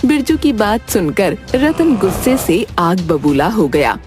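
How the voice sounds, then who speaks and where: Female storyteller, mid-pitched, scripted narration for animated tales, light music bed. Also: measured pace.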